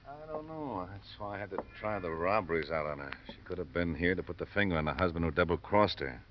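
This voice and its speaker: Low-voiced, shrewd police lieutenant. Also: male voice, lively intonation, fast talker. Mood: businesslike, suspicious. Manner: terse, matter-of-fact questioning. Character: harried, shrewd police lieutenant, politely probing. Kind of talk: 1950s radio crime drama acting. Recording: muffled, hissy old radio broadcast.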